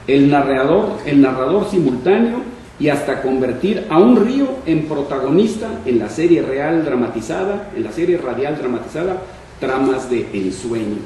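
A man in his sixties with a northern Mexican accent, giving a prepared talk as a panel speaker and reading from notes in an expressive, deliberate way. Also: on the high side. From Monterrey, Mexico. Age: 60s. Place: conference room panel, indoors. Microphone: shared table microphone on stand. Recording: echoey room, distant mic, faint noise.

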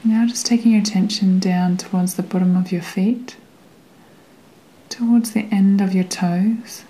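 A woman guiding a meditation in a gentle, soothing way, with a soft, sometimes breathy voice and long pauses between phrases. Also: medium pitch, fairly even intonation, low-quality recording. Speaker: meditation guide. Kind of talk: guided meditation narration.

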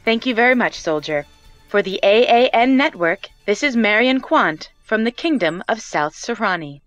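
A woman playing a television war correspondent in a video game. She presents in a polished, formal, composed way at a middling pitch. The sound is dry, with some music behind. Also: animated, moderate pace, a bit bright, no echo, muffled recording, lightly acted. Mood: upbeat, promotional. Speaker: news reporter. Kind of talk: scripted TV news report.

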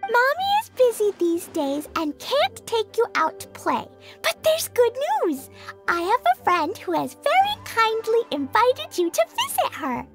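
Little girl, very high, slow-paced, childlike, innocent and eager, fantasy game character. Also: wildly swooping pitch, over music, exaggerated voice. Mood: earnest, explaining. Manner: childlike, refers to herself by name. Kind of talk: anime-style game voice acting.

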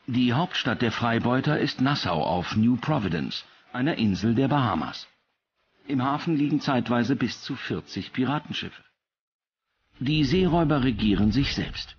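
A man's voice: medium pitch, lively intonation, moderate pace, muffled recording, a little hiss or hum. A man reading scripted documentary narration as the narrator.

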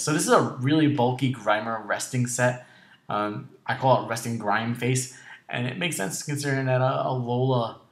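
A man with a raspy, sore voice, slightly short of breath, narrating a video game battle in a casual, halting way. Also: medium pitch, roomy sound. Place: indoor room at home.

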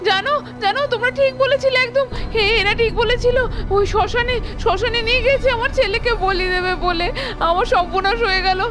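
A woman's voice: very high-pitched, brisk. A woman voicing a remorseful middle-aged mother in a children's doll story, pleading and lamenting. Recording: music playing behind.

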